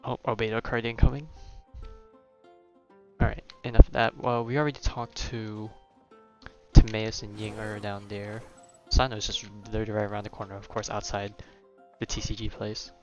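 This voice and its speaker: Low-voiced man streaming a game. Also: flat delivery, moderate pace, faint music behind. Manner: rambling, laid-back. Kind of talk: casual live gameplay commentary.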